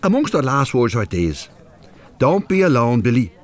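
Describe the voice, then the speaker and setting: A man's voice: mid-range voice, very animated, normal speed, natural voice. An actor playing a plain-spoken, easily irritated older man with a Dublin accent in a radio drama. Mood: exasperated. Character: rough-edged, easily irritated older widowed working man.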